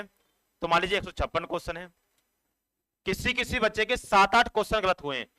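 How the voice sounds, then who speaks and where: A man's voice: sits high, bright, forceful tone, clear, resonant tone, no room sound, poor audio. A man giving an informal, explanatory exam update as a coaching teacher, addressing students directly in a repetitive, instructive way.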